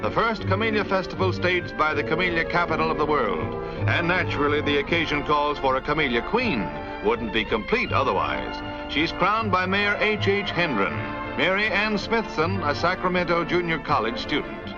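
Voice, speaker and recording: A man's voice: a little high, very animated, normal speed. An American man reading scripted 1950s newsreel narration in a dramatic, declamatory announcer's delivery. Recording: dull old film soundtrack, music underneath.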